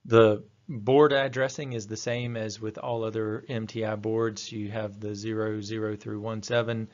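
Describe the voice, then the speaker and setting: A man's voice: fairly low pitch, little pitch movement, normal speed, lo-fi sound. A man giving a matter-of-fact, methodical technical lecture as a training instructor. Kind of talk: slide-based technical lecture.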